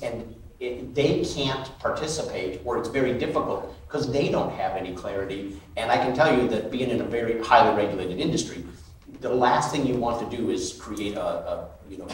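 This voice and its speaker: A man on a conference panel talks in a halting way, often restarting his sentences. His voice is picked up from a distance in a very echoey hall, with faint background noise. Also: medium pitch. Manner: halting, many restarts and fillers. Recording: echoey hall, mic from afar.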